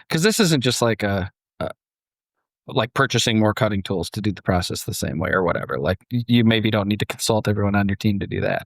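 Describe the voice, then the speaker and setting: A man hosting a podcast, moving between storytelling and casual discussion, acting out imagined quotes in an animated way. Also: mid-range voice, no room sound, clean studio sound. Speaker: podcast host.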